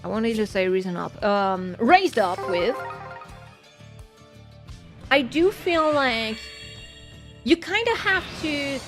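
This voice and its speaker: A woman's voice: fairly high pitch, lively intonation, slow pace, long pauses, faint music behind. A woman with a French accent, a native French speaker, chatting casually on a gaming stream in a musing, rambling way and correcting herself as she goes. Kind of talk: casual gaming livestream chat.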